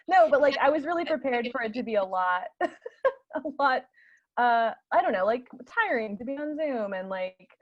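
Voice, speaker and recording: A woman's voice: fairly high pitch, lo-fi sound. A woman theatre artist chatting casually and informally, feeling her way through her phrases. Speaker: theatre artist, panel participant. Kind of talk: casual chat.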